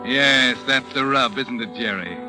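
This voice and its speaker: An actor playing a taunting, all-knowing narrator in an old radio mystery, sardonic and insinuating. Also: male voice, mid-range voice, unhurried, natural voice, poor audio. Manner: sardonic, insinuating, addresses the character directly. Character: taunting, omniscient, ominous male narrator of crime tales. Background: dramatic music.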